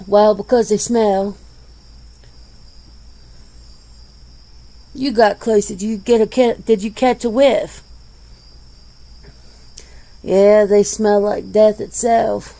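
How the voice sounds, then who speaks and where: Casual werewolf interviewee for ASMR roleplay, female performer: dark, relaxed tone, gravelly edge, plain-spoken and folksy. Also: medium pitch. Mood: teasing, wry. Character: laid-back, plain-spoken werewolf being interviewed, peace-minded.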